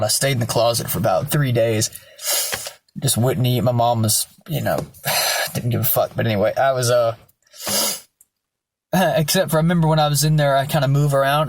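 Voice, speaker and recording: Hoarse young man rambling to camera. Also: mid-range voice. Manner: rambling, loose, swears freely. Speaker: vlogger. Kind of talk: casual storytelling vlog. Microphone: self-filmed camera.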